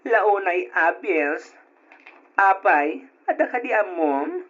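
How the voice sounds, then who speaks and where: A woman's voice: mid-range voice, very animated, takes it slowly, fairly bright tone, slightly rough, full character voice. A woman playing a willing young student in a radio drama. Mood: willing, interested. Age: young adult. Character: willing young student looking for side work. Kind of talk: Ilocano radio drama acting. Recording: dull lo-fi old radio recording.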